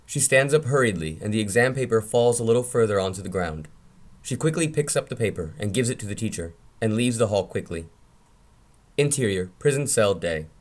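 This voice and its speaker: Lowish-voiced man reading screenplay directions. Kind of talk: scripted narration at a table read.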